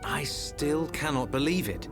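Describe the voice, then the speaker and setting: An actor playing a formal, haughty imperial prince in an animated game cutscene. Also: male voice, on the high side, measured pace, creaky, music in the background. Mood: troubled, courtly. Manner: formal, courtly.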